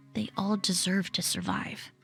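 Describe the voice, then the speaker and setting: An actress voicing a composed, rational young forensic perfumer in a video game, speaking in a measured, reflective way. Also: female voice, medium pitch, creaky. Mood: earnest, resolved. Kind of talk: scripted video game voice acting. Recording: game dialogue under background music.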